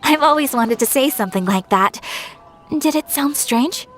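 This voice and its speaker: A woman playing a polite, refined young noblewoman swordfighter in a video game, formal and gentle. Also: sits high, animated, normal speed, soft background music. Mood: thoughtful, sincere.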